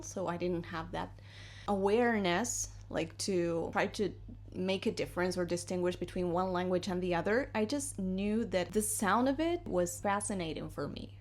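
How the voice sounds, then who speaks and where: A woman's voice: medium pitch, a little mellow. A woman in her thirties with an Argentine accent, a native Spanish speaker, giving a chatty, encouraging English lesson and switching between English and Spanish. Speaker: English teacher. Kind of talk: casual video lesson. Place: home in Madrid.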